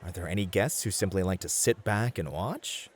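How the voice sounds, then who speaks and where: A man's voice: low-pitched, moderate pace, slightly rough. A man playing a suave, polite club host in an ASMR roleplay, flirtatious and courtly as he teases and mentors. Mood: smooth, encouraging.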